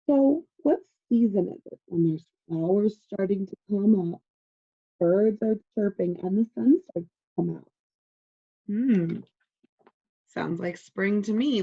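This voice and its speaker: A woman teacher doing a read-aloud for young children, deliberate and encouraging as she prompts them with simple questions. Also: mid-range voice, creaky, dark tone, slightly rough, dull audio.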